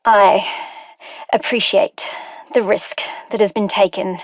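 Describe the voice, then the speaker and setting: An actress playing a brilliant, wry and determined scientist in a video game, speaking articulately in scripted lines and journals. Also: female voice, medium pitch, steady tone, takes it slowly, dry recording, poor audio. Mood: troubled, confiding. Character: brilliant wry female scientist, guilt-ridden, urgent and determined. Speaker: scientist character.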